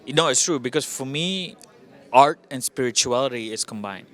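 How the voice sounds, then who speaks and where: A man's voice: mid-range voice, expressive, moderate pace, fairly bright tone. A man from Indonesia giving casual interview answers as a filmmaker, upbeat and reflective.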